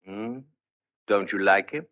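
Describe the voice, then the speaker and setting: A man's voice: lowish voice, slow. An actor playing an opinionated, teasing older country father in an old-fashioned audio drama. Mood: musing. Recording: dull, muffled lo-fi audio.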